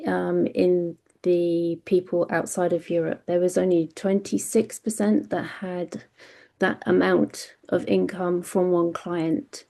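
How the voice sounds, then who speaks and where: British woman with a gravelly voice. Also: lowish voice, warm tone. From Britain. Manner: hesitant, frequent ums and self-corrections. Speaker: webinar panelist. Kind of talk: presenting survey results.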